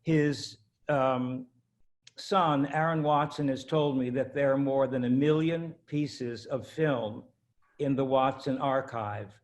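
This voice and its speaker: A man's voice: medium pitch, steady tone, takes it slowly. A man introducing a guest as interviewer, reading through a list steadily with a few fillers. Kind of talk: scripted introduction.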